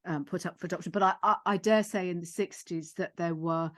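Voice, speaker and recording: Low-pitched middle-aged woman, measured interviewer. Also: fairly even intonation, no room sound, clean studio sound. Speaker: podcast host and psychotherapist. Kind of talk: gentle interview.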